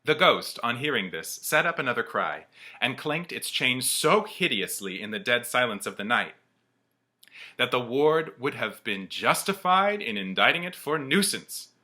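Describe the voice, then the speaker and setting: A man's voice: medium pitch, very animated, normal speed, pushed and bright. A man narrating a classic story from the page as a community reader.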